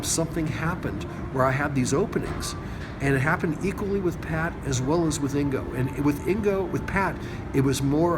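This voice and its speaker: A man's voice: mid-range voice, noisy background. An older man casually recounting memories as an interview guest, rambling and often rewording himself mid-sentence. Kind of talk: casual anecdotal conversation. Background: loud music.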